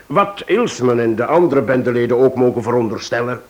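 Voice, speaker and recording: Actor as a composed, formal police chief. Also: male voice, medium pitch, animated, darkish tone, dull audio, natural voice. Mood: measured, planning. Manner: measured, formal, courteous. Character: composed, courteous, firm male police chief inspector. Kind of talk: radio drama acting.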